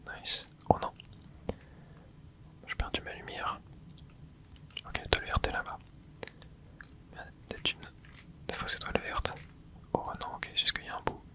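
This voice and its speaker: A person whispering relaxed running commentary over a video game, with soft breathy tones, close to a microphone indoors. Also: unhurried, pauses often. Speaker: gaming ASMR host.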